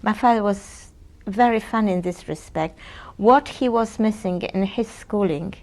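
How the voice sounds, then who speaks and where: This is a Polish-born woman in her sixties recorded on an old 1980s cassette, giving testimony. Her voice is gravelly, and her speech is halting and searching, full of ums and restarts. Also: medium pitch, a little mellow. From Poland. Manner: hesitant, searching for words with many ums and restarts. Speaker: interviewee. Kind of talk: oral history testimony. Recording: 1980s audio cassette interview.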